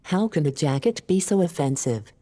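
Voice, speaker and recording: Woman acting a mellow, low-voiced female AI in heavy reverb. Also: expressive, moderate pace. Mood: curious, unimpressed. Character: female artificial intelligence, curious, blunt, unimpressed by humans. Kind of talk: scripted audio drama dialogue.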